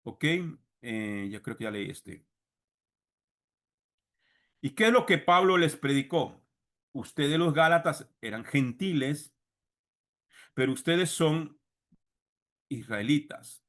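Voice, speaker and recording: A man's voice: mid-range voice, animated, slow, long pauses, a bit bright. A man with a Costa Rican Spanish accent teaching a Bible seminar like a sermon, didactic, repeating key phrases and addressing listeners directly. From Costa Rica. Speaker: religious teacher and congregation leader.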